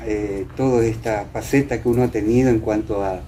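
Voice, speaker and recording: An older man with an Argentine accent from Entre Ríos, reminiscing as a guest and often pausing to find his words. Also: on the low side, steady tone, warm tone. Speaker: interview guest. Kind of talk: reminiscing in conversation. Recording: clear background noise.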